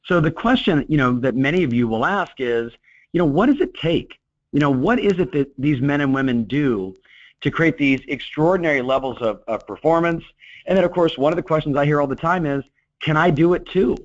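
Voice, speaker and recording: A middle-aged man coaching real estate agents in a webinar, talking in an energetic, motivational way with lots of "you know" fillers. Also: fairly high pitch, fairly quick, dry recording. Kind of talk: webinar talk. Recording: dull, dry lo-fi webinar audio.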